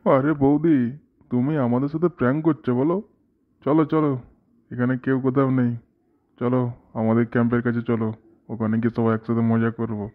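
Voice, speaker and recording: A man's voice: medium pitch, little pitch movement, a little fast, pauses often, natural voice. Man as a tinny, bossy cartoon village fellow. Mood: scheming. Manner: colloquial, commanding, repeats short orders. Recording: thin phone-like sound with faint noise.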